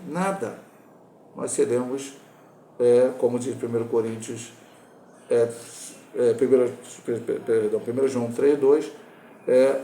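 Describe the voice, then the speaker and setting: A Brazilian man in his sixties, a pastor teaching a Bible lesson, talking in a halting way with restarts and self-corrections. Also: mid-range voice, dark tone, roomy sound, a little hiss or hum. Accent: Brazilian Portuguese. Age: 60s.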